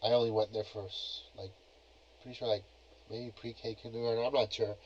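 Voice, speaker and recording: A man's voice: lowish voice, level tone, brisk, darkish tone. A young man hosting a casual solo podcast, talking in a laid-back, rambling way full of filler phrases. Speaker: podcast host. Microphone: cheap plug-in computer microphone. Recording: dull lo-fi cheap mic.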